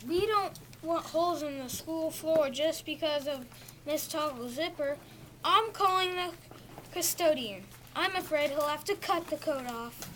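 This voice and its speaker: A stern, exasperated principal from a school story voiced by a female reader. The voice is very high and youthful, read out for readers theatre. The room is noticeably noisy. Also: unhurried, fairly bright tone. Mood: fed up.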